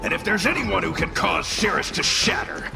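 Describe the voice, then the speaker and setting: Cranky game spirit sidekick, high for a man, gravelly, super cocky, music behind. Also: lively intonation, moderate pace, heavily acted. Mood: cocky, instructive. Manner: brash, swooping, exclaiming. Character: cranky, cocky male spirit companion creature. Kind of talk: scripted video game voice acting. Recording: voice under prominent music.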